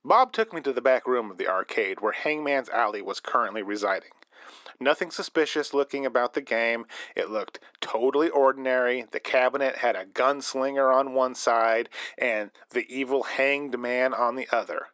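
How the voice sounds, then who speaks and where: A man's voice: medium pitch, fairly bright tone, dry recording, close to an everyday voice. A man playing an earnest radio reporter, narrating a scripted investigative story for a radio drama. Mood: measured, suspenseful. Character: earnest male radio reporter probing an eerie death, late 1980s. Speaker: reporter and radio show host.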